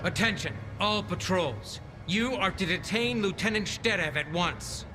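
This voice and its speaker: A man playing a gruff, blunt, foul-mouthed military commander in a video game cutscene. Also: sits high, animated, unhurried, over music. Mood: commanding.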